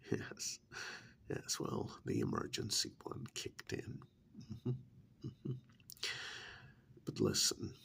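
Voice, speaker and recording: A man playing a chatty, gently scolding vampire envoy in a soft-spoken ASMR role-play, with a breathy whisper and deliberate pauses. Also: medium pitch, slight background noise, heavily acted. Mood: chatty, discreet. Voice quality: breathy whisper, gravelly fry. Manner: slow, deliberate, drawn-out pauses, conversational.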